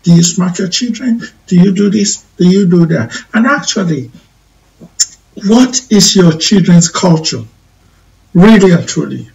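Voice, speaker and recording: Nigerian male host, slightly high voice, halting delivery, sits back from the mic. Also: expressive, creaky, darkish tone, far from the mic, dull audio. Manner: hesitant, many ums and restarts. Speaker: radio show host. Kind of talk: live call-in talk show. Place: studio in the UK.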